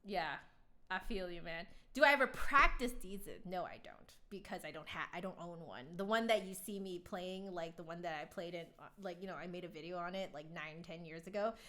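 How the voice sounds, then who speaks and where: A woman's voice: on the low side, fairly quick, sharp tone. A young adult woman chatting casually on a live stream, rambling with lots of fillers while answering viewers. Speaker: flutist streamer.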